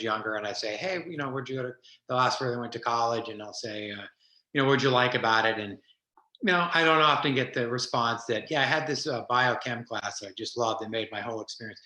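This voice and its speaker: Male interview host; bright, tense tone, roomy echo, rambling with many fillers. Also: mid-range voice. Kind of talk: interview questions.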